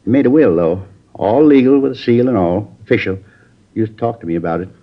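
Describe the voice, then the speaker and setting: Actor voicing a folksy neighbor witness, lowish voice, rambling, dull lo-fi radio sound. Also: male voice, quick pace, natural voice. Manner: folksy, rambling, ungrammatical speech. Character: plain-spoken neighbor man, folksy witness. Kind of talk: 1950s radio drama acting. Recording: dull lo-fi old radio recording.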